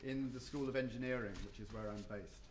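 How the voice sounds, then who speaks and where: A man's voice: mid-range voice, steady tone, fairly quick, a little mellow, reverberant, a little hiss or hum. A man giving a conference panel talk, hesitant, with frequent ums and restarts. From not Japan or the US. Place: hotel conference room.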